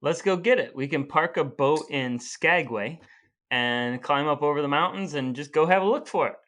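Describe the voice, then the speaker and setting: A man co-hosting a podcast with his brother, casually chatting and explaining history at length in an unhurried way. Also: a little high, a bit bright. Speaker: podcast co-host. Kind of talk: casual chat between brothers.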